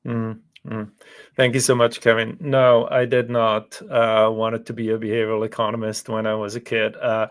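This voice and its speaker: A man's voice: on the low side, completely flat delivery, moderate pace. A middle-aged man from Switzerland, a business professor, answering in a thoughtful, modest way with hesitant restarts. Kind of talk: podcast interview.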